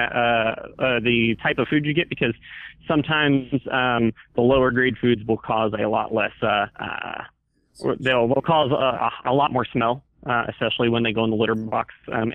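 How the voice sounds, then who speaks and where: A man's voice: medium pitch, no echo. A man working as a store employee, explaining things patiently and hesitantly to a customer over the telephone. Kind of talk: customer service phone call. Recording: tinny, narrow phone line.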